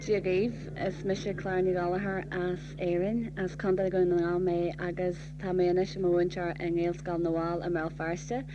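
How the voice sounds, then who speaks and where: A woman from Ireland giving a formal greeting and introducing herself, in a steady, even delivery. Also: lowish voice, normal speed, few pauses, muffled recording, background noise.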